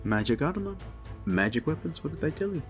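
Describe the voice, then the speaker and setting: A man playing a gruff, hearty dwarf king and armorer in a fantasy audio drama, blunt, proud and commanding. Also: fairly high pitch, big pitch swings, a little fast, soft background music. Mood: proud, matter-of-fact. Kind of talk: fantasy audio roleplay acting.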